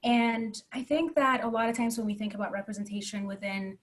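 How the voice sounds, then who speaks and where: A woman's voice: a little high, steady tone, a little fast. Earnest young woman on a video call. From Ethiopia. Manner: earnest, conversational, frequent "right?" tags. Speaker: panelist, computer scientist. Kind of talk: panel discussion on video call.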